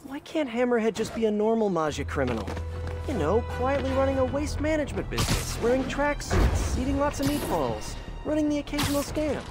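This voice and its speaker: An actor playing an earnest, wisecracking young superhero in a video game, quippy and often talking to himself. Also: male voice, very high voice, sing-song, brisk. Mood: quippy exasperation. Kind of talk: video game hero dialogue. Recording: loud music over voice.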